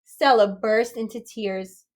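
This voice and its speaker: A woman's voice: on the high side, slow. A woman reading a children's story aloud expressively, with little asides and questions to her listeners. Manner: animated, engaging questions to listeners. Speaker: storybook reader.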